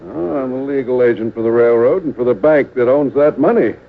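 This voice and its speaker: A man's voice: mid-range voice, fairly quick, natural voice. Man acting a pompous, officious railroad lawyer. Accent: American. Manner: pompous, formal. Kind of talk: 1950s American radio western acting. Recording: tinny old radio recording, faint noise.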